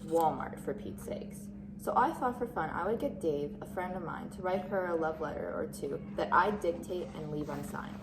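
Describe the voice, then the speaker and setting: A woman's voice: low voice, animated, normal speed. A woman playing a mean, scheming, snobbish teenage cheerleader with a catty, scornful manner in amateur drama. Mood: gloating, catty. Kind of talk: amateur dramatic monologue reading. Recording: echoey room with clear noise.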